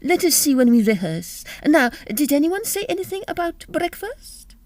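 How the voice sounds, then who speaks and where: An actress playing a gracious, brisk leading soprano of a Victorian opera company, refined and formal, in an audio farce. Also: female voice, sits high, sing-song, a little mellow. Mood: gracious, cheerful. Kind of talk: period farce audio drama acting.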